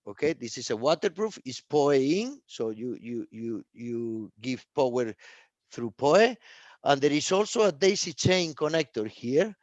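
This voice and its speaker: Guy doing a live tech product demo, non-native english, stop-and-start talker who repeats himself, mid pitch, pretty dry sound. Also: sing-song, no echo. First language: not English. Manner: halting, repeats words and phrases. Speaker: product presenter. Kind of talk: live technical product presentation.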